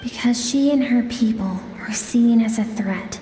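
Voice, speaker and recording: An actress playing a solemn young woman who recounts a tragic past in a video game, in measured, wistful speech. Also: on the high side, mellow, slight background noise, lightly acted. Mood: grave, sorrowful. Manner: slow, measured, wistful storytelling. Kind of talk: scripted game narration and dialogue. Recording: echoey long reverb, music behind. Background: game music.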